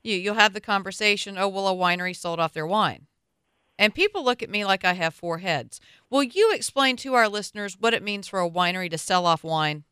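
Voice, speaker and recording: A woman's voice: mid-range voice, lively intonation, a little fast, bright, forceful tone, clean tone, no echo, clean studio sound. A woman hosting a radio talk show, asking questions in a playful, self-deprecating way. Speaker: radio host. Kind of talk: casual radio interview.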